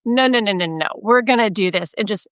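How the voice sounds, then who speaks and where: A woman with a Texas accent chats in a lively, self-deprecating way, poking fun at herself as she talks. Her voice sits mid-range and sounds close and dry, with no room around it. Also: mid-range voice, brisk, no room sound. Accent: Texan. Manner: chatty, self-mocking, animated storytelling. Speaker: podcast co-host. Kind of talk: casual chat.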